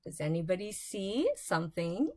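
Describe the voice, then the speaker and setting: A woman's voice: fairly low pitch, big pitch swings, normal speed, mellow. A woman reading bedtime storybooks aloud to young children, expressively, with dramatic emphasis on key words.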